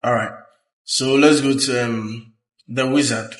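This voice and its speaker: A man presenting a hands-on technical tutorial, explaining step by step in a halting way. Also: medium pitch, fairly even intonation. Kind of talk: hands-on instructional walkthrough. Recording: roomy echo, uneven distance from mic.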